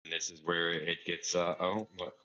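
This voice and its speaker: A man's voice: deep voice, little pitch movement, moderate pace, pushed and bright, muffled recording. A man explaining a game build casually, step by step, thinking aloud as he plays. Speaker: player explaining a farm build. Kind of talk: casual gaming tutorial.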